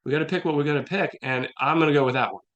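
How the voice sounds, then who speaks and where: A middle-aged man talking casually as a playwright guest in an interview, telling anecdotes in an animated way and acting out quotes. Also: a little high, racing, muffled recording.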